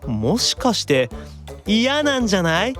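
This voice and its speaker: A man's voice: sits high, unhurried, faint music behind, heavily acted. An actor playing a whiny, easily panicked young man in an anime-style audio drama. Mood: exasperated, teasing. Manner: animated, stammering exclamations when unnerved.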